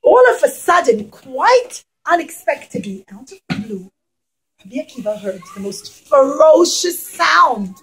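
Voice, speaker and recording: A woman's voice: high voice, very animated, a little slow, faint music behind, rough recording. A woman telling a story to young children as an interactive puppet show, speaking emphatically, repeating phrases and putting questions to them.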